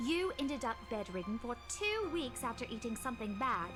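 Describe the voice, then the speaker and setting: A caring, bossy female friend from a visual novel game. The voice is high and swings with lively expression, nagging in a motherly way. Loud music plays over it. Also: normal speed. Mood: scolding, exasperated. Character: caring, bossy woman, a teacher scolding her friend.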